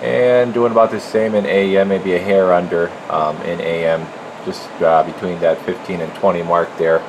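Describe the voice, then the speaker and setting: A man with a fairly low voice talks in an informal, folksy way as he works, like a technician showing a repair. He is recorded on a handheld camera in a workshop, with clear steady equipment noise behind him. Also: normal speed. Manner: informal, folksy, talking while working. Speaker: radio repair technician presenting his work. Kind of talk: casual hands-on demonstration. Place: indoor electronics workshop. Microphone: handheld camera microphone.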